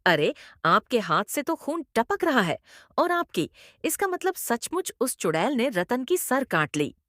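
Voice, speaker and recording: Horror-tale village wife, acted by a woman: anxious, pleading, high and very expressive. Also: unhurried, dry recording, studio quality. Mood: worried. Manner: worried, pleading. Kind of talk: dramatized story acting.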